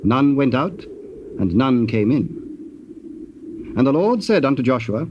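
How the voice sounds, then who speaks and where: A man narrating a dramatized scripture, formal and measured in his storytelling. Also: mid-range voice, big pitch swings. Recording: dull old cassette, faint noise.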